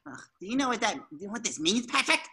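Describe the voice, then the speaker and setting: A woman voicing an excitable, naive young male cartoon sea sponge in an eager, theatrical way at a table read. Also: fairly high pitch, fast talker, husky, exaggerated voice. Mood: playful.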